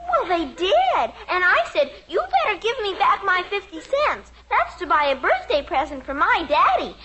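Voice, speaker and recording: A woman's voice: very high-pitched, big pitch swings, moderate pace, pushed and bright, exaggerated voice, poor audio. A woman acting a plaintive, earnest little American girl who fibs, in a 1950s radio sitcom. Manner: plaintive, childlike. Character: little girl, earnest, evasive fibbing daughter. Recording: old radio recording, faint noise.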